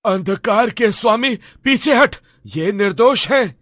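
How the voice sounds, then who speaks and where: A man's voice: high-pitched, animated, slow, a bit bright, no room sound, clean studio sound, exaggerated voice. A man playing a stern old holy man who fights demons, speaking with urgent, incantatory command in a horror story. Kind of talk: Hindi animated horror story acting.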